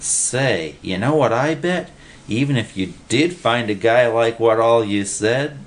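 A man's voice: mid-range voice, lively intonation, a little slow, slight background noise, pitched above the performer's own voice, natural voice. Man doing a chattering, simple-minded underling. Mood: chattering, doubtful. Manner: folksy, ungrammatical chatter.

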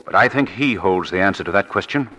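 A man's voice: low voice, lively intonation, fast talker, sharp tone, dull audio. A man playing an urbane, confident detective and crime-fighter in a 1940s radio drama, assured and dryly challenging. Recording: noisy 1940s radio broadcast.

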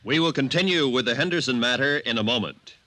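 A man's voice: mid-range voice, fast, sharp tone, no echo. A man with an American accent announcing a 1950s radio show in a grand, polished manner. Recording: 1950s radio broadcast, music underneath.

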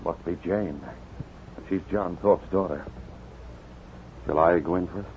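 Earnest, embittered deep-voiced western doctor. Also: male voice, slow. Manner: earnest, intense. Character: earnest, embittered young doctor avenging his father. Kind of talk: 1950s radio western acting. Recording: muffled, hissy 1950s radio recording.

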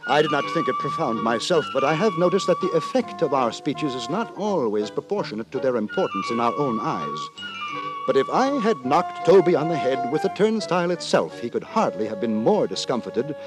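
An actor playing a prim, moralising gentleman who narrates in wordy, formal, mock-solemn 1950s radio drama style. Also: on the high side, huge pitch swings, a little fast. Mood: puzzled, emphatic. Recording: 1950s radio, music behind.

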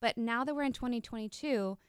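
A woman's voice: on the high side, brisk, smooth, no room sound, studio quality. A woman speaking as a guest in a casual panel chat. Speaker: podcast guest, stage performer.

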